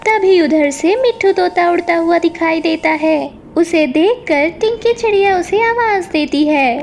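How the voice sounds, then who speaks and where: A woman reading scripted narration as the storyteller of a children's animated tale. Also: very high-pitched, measured pace, a little hiss or hum.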